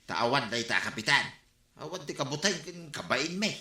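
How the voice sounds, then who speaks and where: An actor playing a tipsy, bumbling elderly neighbor who stammers and slurs in a comedic drama. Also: male voice, medium pitch, sing-song, normal speed, bright, forceful tone, roomy sound, heavily acted. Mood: drunk rambling. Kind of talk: comedic radio drama acting.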